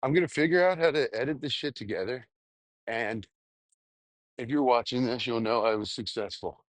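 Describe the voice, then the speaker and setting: A man's voice: medium pitch, dry recording. Man rambling casually, dry close recording. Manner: self-deprecating, halting, crude swearing. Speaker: vlogger. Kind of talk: casual rambling spoken journal.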